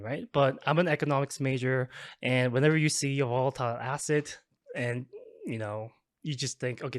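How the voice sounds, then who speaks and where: A young man from South Korea, a guest talking casually in an interview, relaxed and chatty. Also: mid-range voice, no echo, studio quality. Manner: relaxed, chatty, frequent fillers and laughs. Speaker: guest, developer relations advocate.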